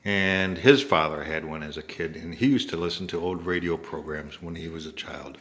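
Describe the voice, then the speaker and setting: Deep-voiced older American man. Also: moderate pace, a bit bright. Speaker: home video maker showing an antique radio. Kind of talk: casual show-and-tell narration.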